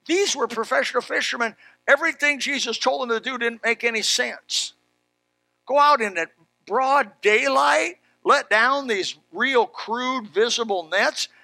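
Guy preaching a sermon, folksy and emphatic, high sharp voice, pretty dry sound. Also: creaky, no echo. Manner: folksy, emphatic, exclaiming and repeating phrases. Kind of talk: animated Bible sermon with personal anecdotes.

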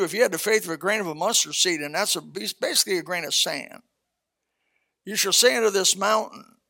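Older male preacher, 70, high bright voice, folksy and blunt. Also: creaky. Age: 70s. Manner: folksy, blunt, conversational with scripture reading. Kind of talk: casual sermon, Bible exposition.

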